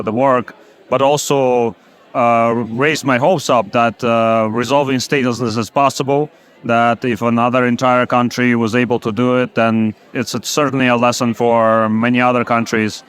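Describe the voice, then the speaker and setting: Man, smooth resonant voice, hesitant, lots of pauses, interview. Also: mid-range voice, fairly bright tone. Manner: hesitant, many uh fillers. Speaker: head of an advocacy coalition. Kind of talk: interview testimony.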